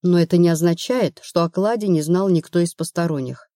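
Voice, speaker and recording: A woman narrator playing a brisk, rational female investigator in an audiobook, blunt and assured. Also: on the low side, warm tone, dry recording, clean studio sound, natural voice. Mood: insistent. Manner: matter-of-fact, assured.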